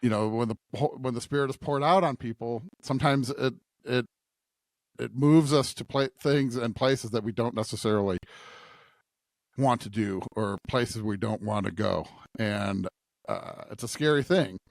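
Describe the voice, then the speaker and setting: Halting, reflective man in a dry studio. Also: mid-range voice, dry recording. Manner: halting, reflective, often seeking agreement. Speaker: podcast co-host. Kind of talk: conversational scripture discussion.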